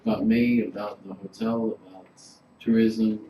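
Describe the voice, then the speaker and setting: A man's voice: lowish voice, little pitch movement, moderate pace, mellow. A man from Greece in his forties, a hotel manager giving an informal workshop with lots of fillers. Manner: chatty, informal, filler-heavy. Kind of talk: relaxed presentation to a class. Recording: roomy, noisy room recording.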